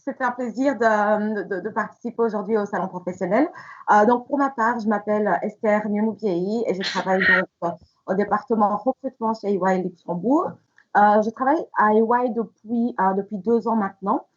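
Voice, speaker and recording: A woman speaks as a recruiter on a panel, in a semi-formal, polite register. Her phrasing is hesitant, with repeats, at an average pitch. The recording sounds a little dull and lo-fi. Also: moderate pace. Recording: slightly dull, lo-fi audio.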